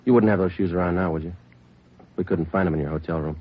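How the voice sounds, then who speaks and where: Man acting deep, deadpan, relentless police detective. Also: quick pace, a little hiss or hum, natural voice. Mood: cool, deadpan. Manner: clipped, deadpan, methodical questioning. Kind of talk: 1950s radio police procedural acting. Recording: dull lo-fi vintage radio recording.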